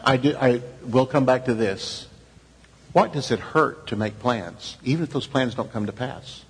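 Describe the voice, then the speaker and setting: A man's voice: mid-range voice, normal speed. A man preaching conversationally as he leads a church session, exhorting his listeners with rhetorical questions and imagined dialogue. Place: large echoing hall, speaking to a group. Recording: very reverberant hall.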